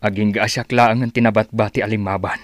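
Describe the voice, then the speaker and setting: A man's voice: on the low side, steady tone, quick pace, dry recording, lightly acted. A man acting in a radio drama as a resolute older uncle and seasoned fighter, grave and emphatic. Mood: grave, indignant.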